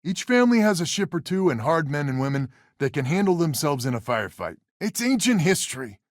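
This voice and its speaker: A man's voice: on the high side, very animated, brisk, dry recording, clean studio sound. A man voicing a weary, stubborn space settler leader in a video game, plain-spoken and sardonic with a folksy manner. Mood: defensive, rueful. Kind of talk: video game character dialogue.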